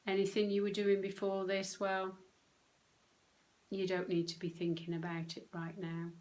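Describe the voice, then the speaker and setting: A woman leading a guided meditation, speaking gently and unhurriedly in short phrases with long pauses. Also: medium pitch, monotone. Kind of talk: guided meditation, soothing instruction.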